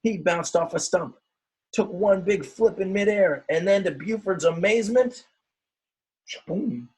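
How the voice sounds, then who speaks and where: Husky-voiced man reading a storybook aloud. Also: sits high, a little slow, rough recording. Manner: expressive, dramatic, explains words to young listeners. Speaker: teacher reading a picture book aloud. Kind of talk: children's storybook read-aloud with simple asides.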